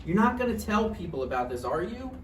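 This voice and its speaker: A man's voice: high voice, big pitch swings, fast talker. A man in a play table read, playing a neurotic, needy failed writer arguing with an ex, hedging conversationally. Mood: wounded, defensive. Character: Roger, neurotic needy male failed writer, argumentative ex-boyfriend. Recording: echoey room, distant mic, faint noise.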